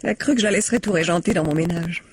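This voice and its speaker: A woman playing a defensive, indignant wife under police questioning in a radio mystery, with a breathy, husky voice and haughty manner. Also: fairly low pitch, racing, a little hiss or hum, natural voice. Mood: evasive. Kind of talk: French radio mystery drama acting.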